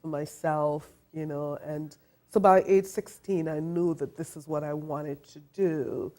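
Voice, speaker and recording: A middle-aged woman from Trinidad and Tobago, a fashion designer, answering interview questions in a soft, thoughtful way with many pauses and fillers. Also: low-pitched, steady tone. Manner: soft-spoken, thoughtful, many ums and uhs. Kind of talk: interview guest.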